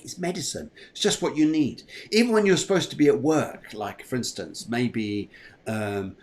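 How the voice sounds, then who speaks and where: A man's voice: medium pitch, darkish tone. A man hosting a livestream morning show in a chatty, jovial way, often repeating his phrases. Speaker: show host.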